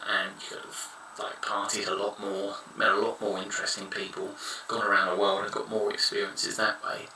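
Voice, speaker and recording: A man's voice: fairly low pitch, monotone, normal speed, bright, forceful tone, slight background noise. A man in his thirties giving frank, rambling advice in a casual monologue. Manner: frank, rambling, blunt opinions. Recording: echoey room, somewhat far from mic.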